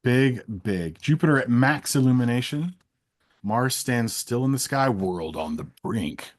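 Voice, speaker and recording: A man co-hosting a live stream with casual, wry banter in a halting delivery. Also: medium pitch, expressive.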